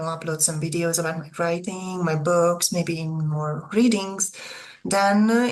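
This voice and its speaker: A young adult woman with a Hungarian accent chatting casually and a little hesitantly, as an author talking to her viewers. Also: fairly low pitch. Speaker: author presenting own video channel. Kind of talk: casual chat to camera.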